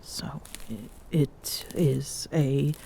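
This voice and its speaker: Woman acting as regal Amazon warrior queen, low-pitched, dark mellow tone, teasing and possessive, soft breathy murmur, formal diction, ASMR romance roleplay. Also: drawn-out words, slight background noise, exaggerated voice. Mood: earnest, murmuring. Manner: formal, haughty diction with coy hesitations and murmured endearments.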